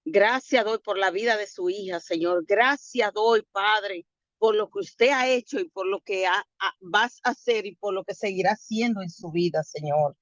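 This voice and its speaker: A woman's voice: mid-range voice, moderate pace, dry recording, dull audio. A woman leading a fervent spoken prayer for a church group. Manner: fervent, repeated addresses to God. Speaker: prayer leader.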